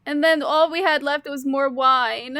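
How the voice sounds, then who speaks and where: A woman's voice: high-pitched, steady tone, unhurried, sharp tone, no room sound, clean studio sound. A woman chatting casually as a player in an improvised tabletop roleplaying game.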